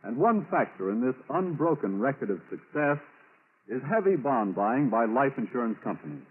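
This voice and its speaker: A man reading scripted announcements in a formal, measured, emphatic 1940s radio style. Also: medium pitch, big pitch swings, slightly rough. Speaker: radio announcer and sponsor spokesman. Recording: narrow-band 1940s radio recording.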